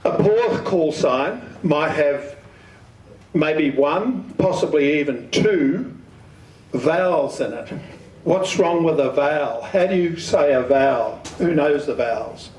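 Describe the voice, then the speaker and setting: An older man giving an instructional talk, deliberate and emphatic, putting questions to his listeners. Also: on the high side, animated, a little mellow. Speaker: speaker giving an amateur radio talk. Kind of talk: instructional lecture with questions to the audience. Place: community centre meeting room. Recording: echoey hall, distant microphone.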